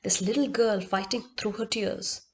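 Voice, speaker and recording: A woman's voice: mid-range voice, steady tone, moderate pace. A woman storyteller narrating expressively and dramatically, in short emphatic phrases with repetition.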